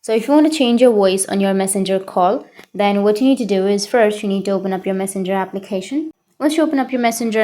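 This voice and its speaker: A woman's voice: mid-range voice, a little mellow. A woman presenting a how-to tutorial in an instructional narration style.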